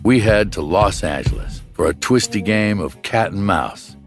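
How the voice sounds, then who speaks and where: A man narrating a scripted true crime documentary as its host, with a measured, dramatic storytelling delivery. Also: on the low side. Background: background music.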